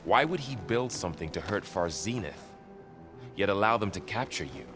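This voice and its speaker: A thoughtful male warrior companion from a video game, acted by a man. His voice is deep and his delivery measured and subdued, with a gentle weariness. Light music sits underneath. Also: lively intonation, close to an everyday voice. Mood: subdued, reflective. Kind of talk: naturalistic video game acting.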